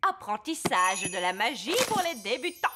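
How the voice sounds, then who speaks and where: A woman's voice: medium pitch, big pitch swings, moderate pace, background noise, natural voice. A woman playing a doting, mischievous fairy grandmother in a children's cartoon, with an indulgent, theatrical delivery. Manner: sing-song, indulgent, theatrical. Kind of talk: animated children's cartoon acting.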